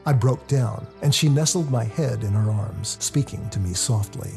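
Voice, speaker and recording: A man narrates a first-person story in a confessional, expressive way. His voice is dark and mellow with a heavy gravelly fry, and soft music plays underneath. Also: lowish voice, normal speed. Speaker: author reading their own novel.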